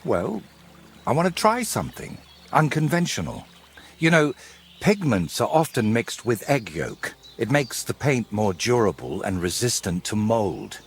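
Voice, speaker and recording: An actor playing a brooding, secretive medieval painter in a historical video game, wry, teasing and evasive. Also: male voice, on the low side, sing-song, unhurried, gravelly, a little hiss or hum, full character voice. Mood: earnest, secretive. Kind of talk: dramatic dialogue in a cinematic historical game.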